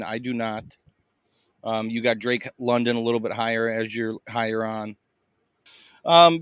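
A man's voice: medium pitch, fairly bright tone, no echo. A man co-hosting a casual fantasy football podcast, loose and chatty, with frequent fillers and laughs. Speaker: podcast co-host. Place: in Boston, eating a meal while recording.

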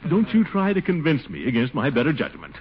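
An actor playing a pompous middle-aged bachelor who sputters and blusters when flustered, in 1940s radio acting. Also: male voice, mid-range voice, huge pitch swings, brisk, breathy edge. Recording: dull old radio broadcast, faint hiss.

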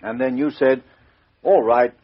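An actor playing a calm, methodical male police chief inspector who questions in a measured, coolly ironic way, in a 1950s radio drama. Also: mid-range voice, husky. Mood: probing. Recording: dull lo-fi old radio recording.

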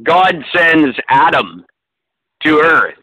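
A man's voice: a little high, big pitch swings, slow, sharp tone, dull audio. A man in casual podcast chat, talking in a rambling, self-deprecating way with many fillers and restarts. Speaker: podcast co-host.

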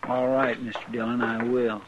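A man's voice: medium pitch, little pitch movement, moderate pace, natural voice. A man playing an anxious, loyal, folksy deputy with a rural American drawl in a 1950s radio western. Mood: meek. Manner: folksy, fretful, deferential. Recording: dull, very noisy old radio recording.

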